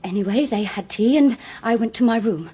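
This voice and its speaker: A woman acting in a radio drama as a frightened, earnest young woman, hesitant and breathy. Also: fairly high pitch, a little fast, warm tone. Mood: anxious, explaining. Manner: hesitant, anxious.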